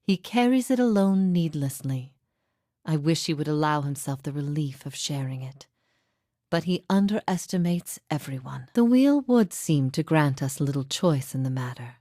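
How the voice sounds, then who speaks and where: A woman playing a solemn, resolute heretic spirit in a video game, speaking in a grave, measured, contemplative way. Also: low voice, expressive, warm tone, no echo, clean studio sound. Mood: sorrowful, pitying. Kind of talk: dramatic video game dialogue.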